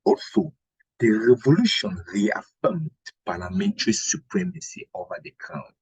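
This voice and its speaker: Husky, lively man giving a history lesson. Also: a little high, no room sound, low-quality recording. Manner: didactic, deliberate, checks with "right?" and rhetorical questions. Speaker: history teacher preparing exam candidates. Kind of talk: instructional exam-prep lecture.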